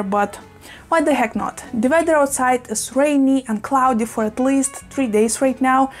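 A young woman with a slightly high voice, whose first language is Russian, chats at speed into a fixed camera from a small room crowded with plants. Her talk gushes and spills over with exclamations. Soft background music plays faintly beneath her. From Russian-speaking, living in Lithuania. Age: young adult. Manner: fast, gushing, chatty with many exclamations. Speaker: plant vlogger. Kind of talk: casual chat while repotting plants. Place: small indoor room full of plants and shelves. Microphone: fixed camera at desk.

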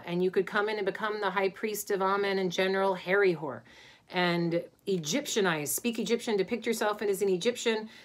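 A middle-aged woman, an Egyptologist, lecturing informally to a webcam from her home study, thoughtful and pausing with many ums and restarts. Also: medium pitch. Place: home study with bookshelves, indoors.

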